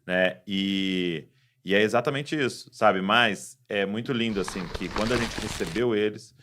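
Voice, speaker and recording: A Brazilian man hosting a podcast, talking in a relaxed, affirming, conversational way. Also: fairly low pitch, moderate pace, slight background noise. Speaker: podcast host. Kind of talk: casual interview talk.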